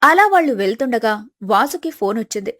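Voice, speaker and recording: A woman with a fairly high voice works as a storyteller. She delivers scripted narration for a family tale. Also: big pitch swings, fairly quick, fairly bright tone.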